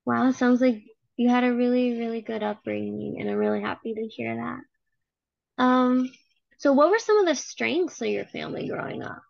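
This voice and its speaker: A young woman asks interview questions, friendly and encouraging, with many "like" fillers. Her fairly high voice is full of heavy vocal fry. The audio is slightly dull and lo-fi, with no room sound. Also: moderate pace, darkish tone, dry recording. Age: young adult. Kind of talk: casual interview, class project.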